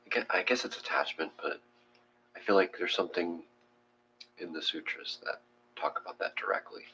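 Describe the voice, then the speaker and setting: A man with a very low voice talks casually and reflectively, halting as he works out his thoughts. The sound is slightly muffled, with a hum behind him. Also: sharp tone. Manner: halting, thinking aloud, trailing off. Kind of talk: casual reflective group discussion.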